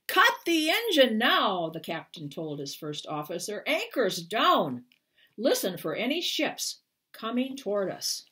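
A woman in her sixties or older with a husky voice reads a children's book aloud in a deliberate, expressive way, as an author at storytime. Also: medium pitch. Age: 60s or older. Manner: deliberate, expressive reading with brief explanatory asides. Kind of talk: storytime read-aloud to camera. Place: small living room at home, indoors.

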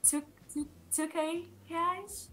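A woman's voice: very high-pitched, steady tone, slow, fairly bright tone, a little hiss or hum. A teenage woman from Brazil with a Brazilian Portuguese accent, speaking English as a second language in a casual video-call chat, hesitant and giggly, with many fillers. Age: teens. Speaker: guest.

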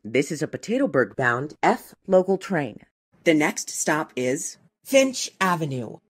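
A woman reading scripted train announcements in a measured, formal, segmented way. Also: low voice, very animated, breathy edge. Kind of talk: scripted transit announcement, spliced clips.

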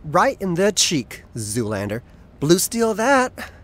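A man's voice: sits high, huge pitch swings, slow, background noise, heavily acted. A man playing a witty, flamboyant and loyal assistant in an audio drama, talking in a theatrical, playful way. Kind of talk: audio drama acting, conversational.

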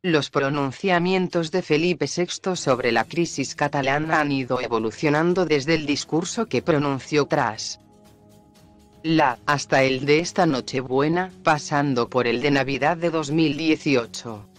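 A man reading a scripted news report in a formal, measured way. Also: fairly high pitch, faint music behind. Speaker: news narrator.

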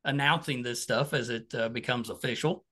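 A man's voice: mid-range voice, steady tone, moderate pace, bright, forceful tone. A middle-aged man hosting a podcast interview over a video call, chatting casually with an easy laugh. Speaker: podcast host.

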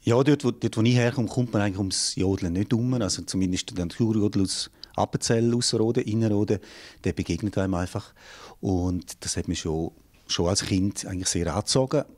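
A man's voice: fairly low pitch, fairly quick, darkish tone. A man in his forties with a St. Gallen Rhine Valley Swiss German accent, answering interview questions thoughtfully in a small studio room, restarting phrases as he searches for words. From St. Gallen Rhine Valley, Switzerland. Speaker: jazz singer and yodel teacher, interview guest. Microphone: handheld mic held by interviewer.

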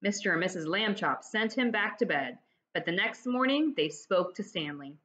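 A woman in her forties reads a children's book aloud with lively, swooping intonation. She explains things to young listeners as a teacher would. The sound is slightly dull, from a laptop mic in a room at home. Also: medium pitch, moderate pace. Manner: expressive, explanatory, addressing children directly. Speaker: teacher reading aloud to pupils. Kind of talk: children's storybook read-aloud. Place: dining room at home, indoors. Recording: dull lo-fi webcam audio.

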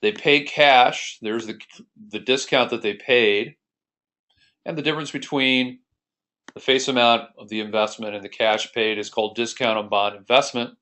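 American man, slightly deep voice, instructor, methodical step-by-step explanation, screencast lesson. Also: sharp tone. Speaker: accounting instructor.

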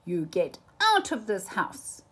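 A woman's voice: medium pitch, wildly swooping pitch, slow pace, a bit bright, breathy edge, full character voice. A woman voicing a small, indignant pet fish from a storybook, fussy and bossy, scolding emphatically.

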